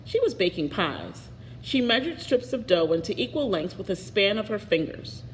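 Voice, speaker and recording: Woman, expressive read-aloud narration, lively intonation, long room reverb, faint background noise. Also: medium pitch, moderate pace. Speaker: storybook reader. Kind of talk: expressive picture-book read-aloud with asides.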